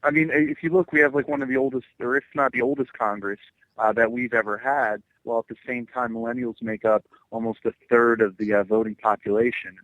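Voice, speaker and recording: A young man in his twenties from New Jersey, talking as a guest on a radio show. He pauses often and fills his answers with "uh" and "um", and his voice sounds dry and close. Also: medium pitch, flat delivery, a bit bright, no echo, poor audio. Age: 20s. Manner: hesitant, heavy with fillers. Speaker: political candidate guest.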